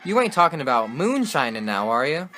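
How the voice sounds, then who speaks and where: A man's voice: on the high side, very animated, brisk, sharp tone, soft background music. A man playing a skeptical, wisecracking 1920s radio sports host, with folksy period slang and a sardonic manner. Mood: curious.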